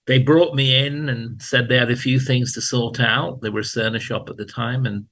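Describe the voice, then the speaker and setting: A man's voice: medium pitch, normal speed. Older man. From England. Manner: relaxed, storytelling, dry humor. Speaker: guest, hospital chief information officer. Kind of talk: casual interview talk.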